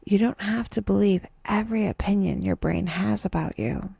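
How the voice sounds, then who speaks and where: A woman narrating a scripted guided meditation with wry, dry humor and a soft, breathy delivery, leaving unhurried pauses. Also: mid-range voice, no room sound.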